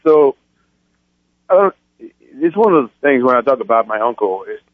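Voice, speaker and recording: A man's voice: medium pitch, sing-song, normal speed, dry recording. A man in his thirties from France, telling his story earnestly as a radio guest, with many restarts. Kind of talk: phone-in interview. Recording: tinny phone line.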